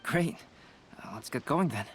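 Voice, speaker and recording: A man playing a calm, polite young artist in a video game, speaking in a composed, matter-of-fact way. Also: on the high side, measured pace, very noisy. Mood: calm, gentle. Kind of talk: scripted video game dialogue. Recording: clear music behind the voice.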